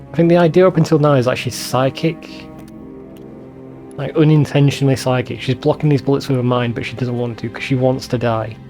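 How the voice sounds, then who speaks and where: A man's voice: mid-range voice, creaky, mellow. A man giving casual, sweary commentary over a video game in an informal, bemused way. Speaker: let's play video host.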